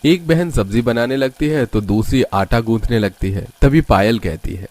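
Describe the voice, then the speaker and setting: A man's voice: mid-range voice, normal speed, darkish tone. A man narrating a scripted cartoon story.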